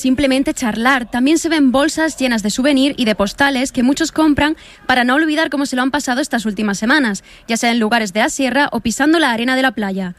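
A woman reads a scene-setting news report in a bright, high voice. She keeps a measured, descriptive pace, and the sound is dry and close. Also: no room sound. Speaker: field reporter.

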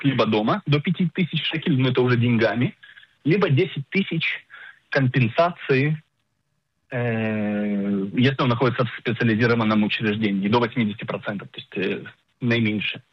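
A middle-aged man explaining things as an expert guest in a radio interview, didactic and emphatic, pausing to search for words. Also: mid-range voice, husky, no echo. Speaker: insurance agent and financial planner, radio guest. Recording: dull lo-fi radio sound.

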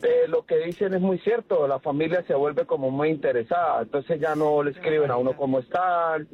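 A man's voice: on the high side, big pitch swings, normal speed, breathy edge. A man from Venezuela calling in to a radio show, talking earnestly and a bit hesitantly. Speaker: caller. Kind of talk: phone-in call. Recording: tinny phone line.

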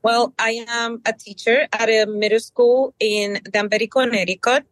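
A middle-aged woman from Puerto Rico, a bilingual middle school teacher, answering interview questions earnestly with many pauses and ums. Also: a little high, flat delivery, no echo.

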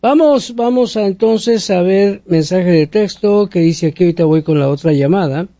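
A man's voice: high-pitched, normal speed, dark tone, dry recording. A man hosting a radio call-in show, chiming in with brief, friendly remarks and reading out listener messages. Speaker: radio host.

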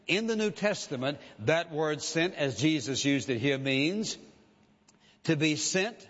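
A man preaching a sermon as a pastor, measured and emphatic, repeating key phrases for weight. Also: fairly high pitch. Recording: dull lo-fi sound with long hall reverb.

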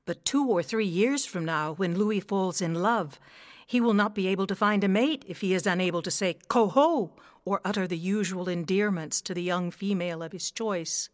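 A woman playing a gentle, concerned mother swan in a children's story, speaking in a refined, tactful way. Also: medium pitch, moderate pace, no room sound, clean studio sound, natural voice. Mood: tender concern.

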